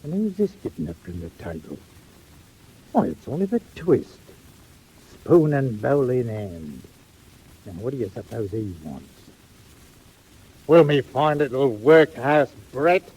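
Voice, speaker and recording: Workhouse master: short-tempered, pompous Cockney bully for 1940s audio drama, rasping voice, swooping melodramatic delivery. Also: male voice, medium pitch, sing-song, unhurried, pauses often, dark tone, low-quality recording. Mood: suspicious. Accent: English, Cockney-flavoured. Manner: pompous, blustering, exclaiming. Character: blustering, bad-tempered, cruel workhouse master.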